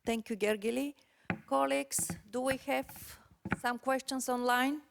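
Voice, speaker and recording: Casting note: woman moderator, very slow, heavy vocal fry, smooth tone. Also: high voice, steady tone, background noise. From Bulgaria. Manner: slow, hesitant, many uh pauses. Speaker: session moderator. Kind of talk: moderating a conference session.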